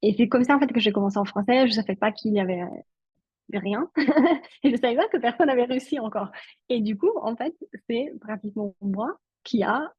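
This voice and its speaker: A chatty woman from Switzerland, a native Italian speaker, talks as a guest in an interview and leans on filler words. Her voice has a husky, slightly breathy edge. The sound is dry and a little dull, as over a video call. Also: on the high side, brisk, dry recording. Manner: chatty, many fillers like "en fait". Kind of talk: casual interview talk.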